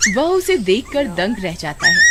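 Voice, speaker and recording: Female storyteller for a kids' tale, animated delivery, noisy track. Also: high-pitched, measured pace. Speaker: story narrator. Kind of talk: scripted narration of a children's story.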